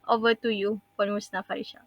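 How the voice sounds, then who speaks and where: A woman's voice: medium pitch, flat delivery, quick pace, no echo. A young Malaysian woman moderating a forum, with formal, polite handovers between speakers. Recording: dull lo-fi recording.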